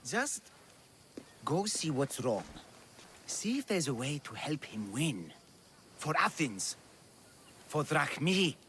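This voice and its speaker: Worried bookmaker, high, bright, hesitant. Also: male voice, sing-song, takes it slowly, long pauses, a little hiss or hum, exaggerated voice. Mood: nervous. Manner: nervous, hesitant, pleading. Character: anxious male bookmaker, fretting over bets. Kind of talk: video game cutscene acting.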